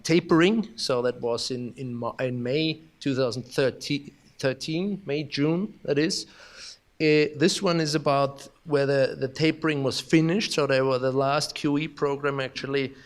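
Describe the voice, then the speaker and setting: Austrian-accented man giving a conference keynote. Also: medium pitch. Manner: halting, many restarts and fillers, self-deprecating humor. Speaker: keynote speaker. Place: conference hall.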